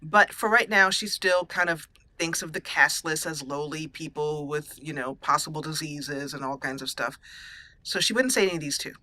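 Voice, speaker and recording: A woman's voice: deep voice, moderate pace, sharp tone. A woman hosting a let's play, giving casual storytelling commentary on her roleplayed game character.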